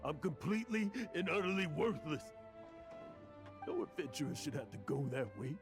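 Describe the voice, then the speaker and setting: An actor playing a weary, regretful old adventurer in a video game, with a gravelly voice and halting, wistful delivery. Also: male voice, on the high side, lively intonation, music in the background, natural voice. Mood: despairing. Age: older. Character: weary old male former adventurer, regretful and self-loathing.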